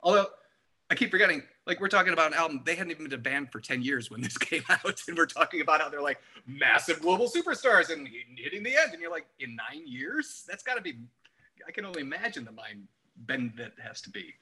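A man chatting casually about music as a podcast panelist, offering anecdotes and quick interjections. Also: a little high, big pitch swings, pushed and bright, breathy edge. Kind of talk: casual music album discussion.

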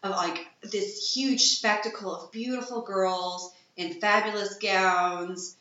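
A woman from Texas, a burlesque performer, chatting as an interview guest with enthusiastic bursts of excitement. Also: mid-range voice, slow. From Texas, USA. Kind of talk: casual interview chat. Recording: echoey room, dull lo-fi.

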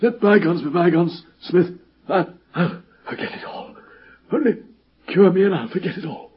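A shrewd British detective who sounds sick in an old radio play. The voice is very breathy and husky, higher than most men's and highly expressive. The sound is muffled and dated. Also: male voice, moderate pace. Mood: weak, pleading. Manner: theatrical, refined, swinging from murmurs to urgency. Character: shrewd, commanding detective feigning grave sickness. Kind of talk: old-time British radio drama acting. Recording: muffled vintage radio broadcast recording.

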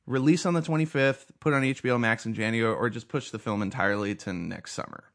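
A man hosting a relaxed conversation about film news. His voice sits at an ordinary middle pitch. He talks in a loose, rambling way and keeps restarting his sentences. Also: quick pace. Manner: chatty, many fillers and restarts. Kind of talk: casual film news chat.

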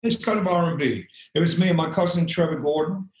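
An older English man with a loud speaking voice, telling rambling stories as an interview guest, with many fillers and frequent laughs. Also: on the high side, quick pace, echoey room, poor audio. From England. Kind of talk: relaxed storytelling interview.

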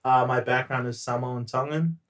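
A young man from New Zealand talks as a guest on a casual podcast. He speaks in a relaxed, hesitant way, full of ums, and laughs easily. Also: medium pitch, normal speed.

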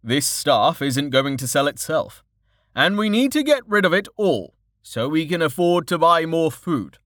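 A man's voice: fairly high pitch, expressive, slow, a bit bright, no echo, clean studio sound, voice pushed up. A man voicing a cheerful, wily merchant father in an audiobook, fond but firm, with a coaxing showman's manner.

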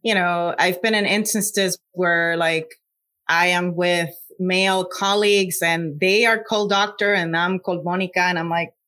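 A woman's voice: on the low side, steady tone, moderate pace, a bit bright. A woman from Puerto Rico with a Puerto Rican accent, a scientist speaking earnestly in an interview, with frequent fillers. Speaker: scientist guest. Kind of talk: conversational interview.

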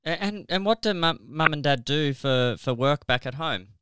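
A man's voice: fairly high pitch, lively intonation, normal speed, bright, forceful tone, clear, resonant tone, no room sound, studio quality. A man with an Australian accent hosts a podcast, moving between prepared introductions and conversational interviewing. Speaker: podcast host.

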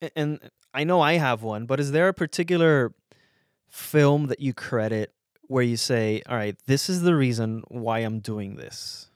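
A man interviewing a filmmaker, asking questions in a relaxed, friendly way. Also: mid-range voice, measured pace, clean tone, dry recording, studio quality. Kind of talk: casual interview.

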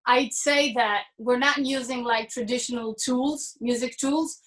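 Thoughtful young woman musician, slightly high. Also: fairly even intonation, fairly bright tone. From Israel. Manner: thoughtful, halting, restarts phrases. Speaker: musician guest. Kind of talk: interview answers.

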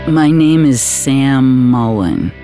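A man's voice: medium pitch, lively intonation, mellow, soft background music. A man playing a matter-of-fact homicide detective, narrating a case in a terse, hard-boiled audio drama style. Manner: deliberate, terse storytelling.

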